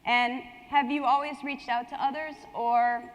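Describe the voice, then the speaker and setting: Female panel moderator, slightly high voice, live event delivery, recorded in a big echoing hall. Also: fairly even intonation, measured pace. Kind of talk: hosting a live panel, partly reading introductions from a script. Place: indoor conference hall on stage. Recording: echoey hall, long reverb.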